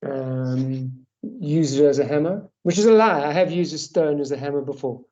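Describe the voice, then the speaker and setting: Guy in a workshop call, kinda high but mellow voice, ums a lot then jokes, muffled line. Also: lively intonation, normal speed. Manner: hesitant then playful, thinking aloud with "um". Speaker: workshop participant. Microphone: video call. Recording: muffled video call audio.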